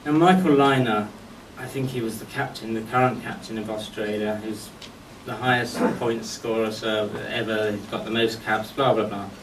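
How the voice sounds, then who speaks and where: A man delivering a eulogy full of anecdotes, telling stories in short phrases with deliberate pauses. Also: on the low side, fairly even intonation. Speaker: friend giving a memorial tribute. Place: golf club function venue at a memorial service. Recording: echoey distant mic with background noise.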